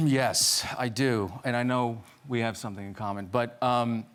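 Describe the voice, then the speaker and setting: A man's voice: mid-range voice, measured pace. An actor from Romania with Romanian as his first language, chatting easily and with amusement as a talk show guest. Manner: easygoing, amused. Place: TV studio with audience.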